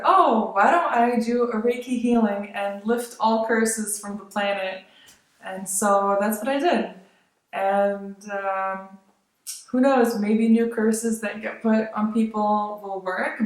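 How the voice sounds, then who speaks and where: A young woman from Lithuania chatting casually as a vlogger, rambling with many fillers and soft laughs. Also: medium pitch. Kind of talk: casual chat. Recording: very echoey room.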